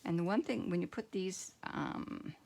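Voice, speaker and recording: A middle-aged woman with a croaky voice, demonstrating card making at home and thinking aloud in a relaxed, rambling way. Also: lowish voice, a little slow. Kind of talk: casual craft tutorial.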